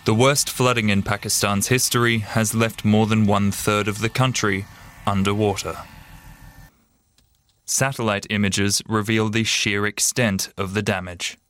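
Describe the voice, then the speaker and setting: A man's voice: lowish voice, steady tone, measured pace, a bit bright. A man narrating a scripted television news report as a reporter.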